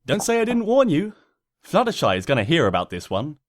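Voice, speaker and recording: A man's voice: a little high, wildly swooping pitch, fairly quick, slight background noise. A man voicing an irritated, stubborn young female pony heroine in a comic storybook, speaking in an exasperated, indignant manner. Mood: angry, threatening.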